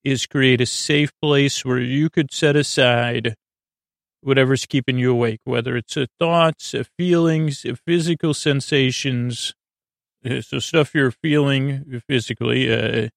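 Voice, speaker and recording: A man with a creaky voice hosting a sleep podcast in a meandering, halting, lulling monologue full of digressions. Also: medium pitch, dry recording, studio quality. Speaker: podcast host.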